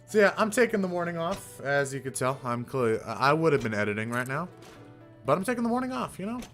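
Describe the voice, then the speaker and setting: A young man streamer chatting casually, rambling with fillers and casual swearing, with a fairly deep voice. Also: sing-song, fast talker, fairly bright tone, soft background music. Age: young adult. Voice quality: somewhat deep voice. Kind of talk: casual live-stream chat.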